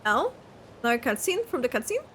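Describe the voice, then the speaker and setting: A woman's voice: fairly high pitch, big pitch swings, brisk, a bit bright, slight background noise. A woman streamer giving a casual live reaction to a game, musing and hesitant. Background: game music.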